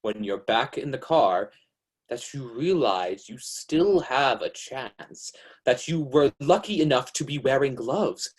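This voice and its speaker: An actor playing an ominous, knowing narrator of crime tales in the style of 1940s radio suspense. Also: male voice, medium pitch, animated, slightly rough. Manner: deliberate, ominous.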